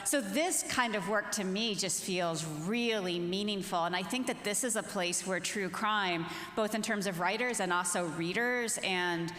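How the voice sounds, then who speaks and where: A woman's voice: medium pitch, moderate pace, hardly any pauses, fairly bright tone. A woman speaking as an author and journalist on a panel, in an earnest, informal, conversational way. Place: large reverberant festival venue. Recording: echoey hall with long reverb.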